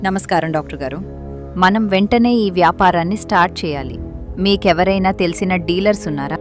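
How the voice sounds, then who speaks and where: A woman's voice: fairly low pitch, a little fast, soft background music, lightly acted. Woman playing a scheming young daughter-in-law. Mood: businesslike. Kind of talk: acted dialogue in a Telugu moral story.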